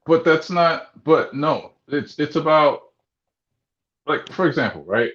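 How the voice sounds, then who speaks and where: A man's voice: fairly high pitch, animated, slow pace, a bit bright. A man hosting a sports talk show, rambling and interrupting himself with many restarts. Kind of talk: casual sports radio banter. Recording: echoey room, dull muffled sound.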